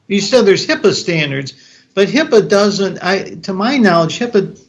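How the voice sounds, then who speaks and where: A man on a board committee, asking pointed questions at a meeting in a halting, restarting way. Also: high voice, expressive.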